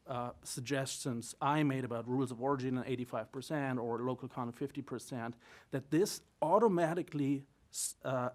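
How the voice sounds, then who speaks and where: A man speaking on a policy panel, his remarks halting with frequent uhs and a skeptical tone. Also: medium pitch, a little mellow. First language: German. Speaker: business representative on a panel.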